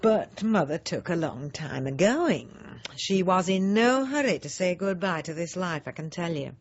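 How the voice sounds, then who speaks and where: A woman's voice: fairly low pitch, animated, normal speed. A woman acting a self-righteous, snobbish middle-aged housewife in a confiding radio drama monologue, prim, pointed and self-justifying. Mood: indignant. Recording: slightly dull, lo-fi radio recording.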